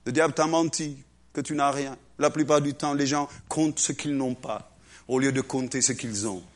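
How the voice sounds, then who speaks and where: A man preaching a lively sermon to a congregation, acting out little voices and swinging between loud and hushed delivery. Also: mid-range voice, normal speed. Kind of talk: animated sermon.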